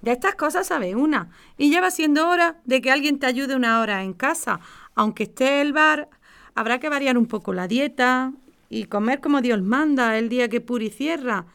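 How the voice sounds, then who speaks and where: A woman's voice: high-pitched, normal speed, gravelly, a bit bright, clean tone. A woman with an accent from Spain playing a caring, insistent older mother in a radio soap, coaxing and matter-of-fact. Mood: persuading. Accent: Spanish from Spain.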